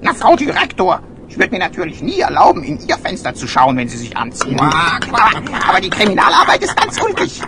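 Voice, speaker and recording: An actor voicing a cheeky, talkative little bird in a children's audio play, squeaky and breaking into chirps. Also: huge pitch swings, a little fast. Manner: chatty, breaks into chirps mid-sentence. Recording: noisy old vinyl audio play.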